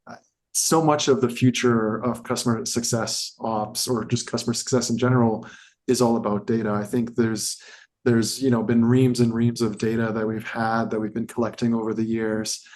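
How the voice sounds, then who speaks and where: A man's voice: mid-range voice, little pitch movement, normal speed, a little mellow. A man co-hosting a podcast interview whose first language is French, talking in a rambling, hedging way. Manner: rambling, hedging with fillers and restarts. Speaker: podcast co-host. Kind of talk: casual conversational interview.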